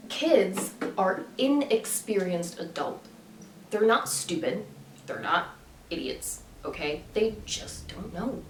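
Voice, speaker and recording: A woman's voice: mid-range voice, slight background noise. A woman vlogging in a casual, chatty storytelling way, full of fillers and restarts. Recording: echoey room from a distance with faint ambience.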